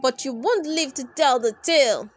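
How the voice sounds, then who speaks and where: A woman voicing a blustering, vengeful male evil doctor from a children's storybook, with melodramatic shouting. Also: high voice, moderate pace, no echo, lightly acted. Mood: furious, menacing.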